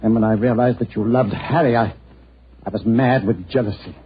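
A man's voice: lowish voice, moderate pace, close to an everyday voice. A man playing a scheming, lovesick professor in an old radio drama, intense and melodramatic. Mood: anguished confession. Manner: overwrought, melodramatic. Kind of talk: old-time radio acting. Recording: muffled old radio recording, hissy.

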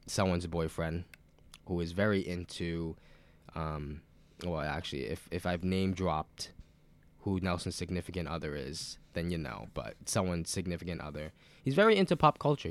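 A man with a very low voice who sounds nasal and stuffed up from a cold. He hosts a podcast in casual chat, rambling loosely, swearing freely and filling pauses with lots of "um" and "like.". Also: clear, resonant tone. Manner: loose, rambling and profane, with many fillers. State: sick with a cold. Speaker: podcast host.

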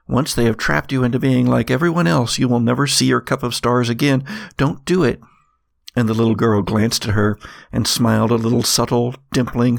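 A man working as game master, narrating a gothic story in a deliberate, theatrical way. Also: mid-range voice, vocal fry, no room sound, clean studio sound. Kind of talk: dramatic scripted narration and game narration.

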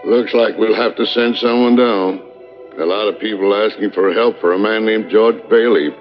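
An actor playing a deferential, matter-of-fact angel aide in 1940s film style, on a muffled old soundtrack. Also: male voice, fairly low pitch, steady tone, normal speed, faint music behind, lightly acted. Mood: dryly reporting.

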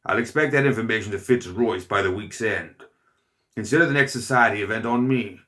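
Man doing a gruff older lord hunter. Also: on the low side, a little fast, sharp tone, voice pushed up. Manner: barking, growling. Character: gruff older male aristocrat hunter, boisterous.

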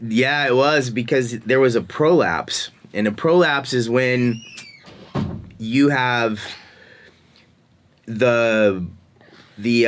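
A man in his forties, hosting a casual chat, talking in a loose, rambling way and laughing often. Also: medium pitch. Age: 40s. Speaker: podcast host. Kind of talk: casual conversation.

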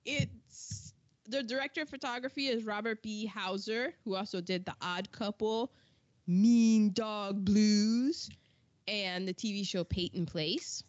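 A woman's voice: mid-range voice, unhurried, a little mellow, no echo, lo-fi sound. A woman co-hosting a podcast in casual chat, running through film credits with asides and false starts. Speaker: podcast co-host.

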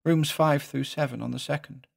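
A nervous middle-aged little innkeeper , voiced by a male narrator in an audio story. He speaks hesitantly and plainly, pitched up from the reader's usual voice. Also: fairly even intonation, normal speed, vocal fry. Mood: uneasy, halting.

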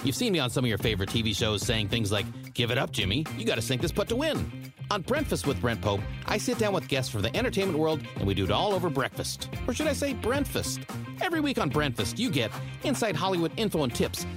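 A man's voice: on the high side, very animated, quick pace. A man reading a scripted podcast promo as its host, with playful, showy salesmanship. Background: music.

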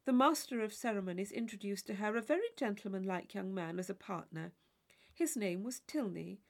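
A woman reading a classic novel as an audiobook, in expressive, measured literary narration. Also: mid-range voice, creaky. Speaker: audiobook reader.

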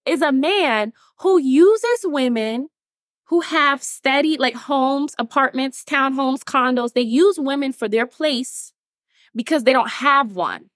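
A woman's voice: high-pitched, no room sound, clean studio sound. A woman, talking casually to her audience as host. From Atlanta, Georgia. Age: 20s. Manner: chatty, animated, laughs often, addresses listeners as y'all. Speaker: TikTok creator and podcast host. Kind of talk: casual talk to camera.

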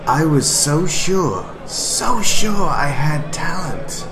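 An actor playing a timid, tipsy failed comedian in an audio drama, hesitant, self-pitying and rambling. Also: male voice, a little high, sing-song, slow, music in the background, heavily acted, poor audio. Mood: anxious, self-pitying.

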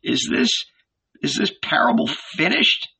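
A man's voice: sits high, expressive, vocal fry, bright, forceful tone, husky, voice pushed up, exaggerated voice. A man voicing a frightened old rich man, trembling and stammering, pleading reverently in a storybook reading. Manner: stammering, halting.

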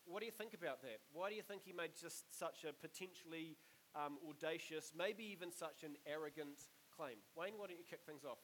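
A man's voice: fairly high pitch, brisk, fairly bright tone, low-quality recording. A man hosting a panel discussion, explaining things in a hesitant, careful way. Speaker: host and moderator of a panel discussion. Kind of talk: moderating a church panel discussion. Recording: clearly hissy background noise.

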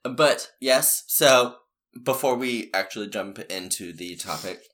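A man's voice: lowish voice, expressive, measured pace, a bit bright. A man hosting a podcast in a casual, joking way, with a scratchy throat from the early hour. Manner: laid-back, joking, giggly. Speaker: podcast host.

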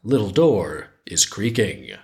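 A frantic, defensive little storybook object, read by a man in a slightly low voice. It recites its grievances with indignant emphasis and very lively pitch. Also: slow, exaggerated voice. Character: frantic, defensive little household things in a folktale.

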